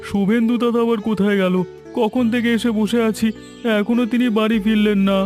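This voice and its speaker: A high-pitched, idle young cartoon villager, acted by a man, cocky and self-satisfied. There is a whine in how he talks. Faint music sits behind the voice. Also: steady tone, a little fast, a little mellow, lightly acted. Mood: impatient. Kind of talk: Bengali cartoon voice acting.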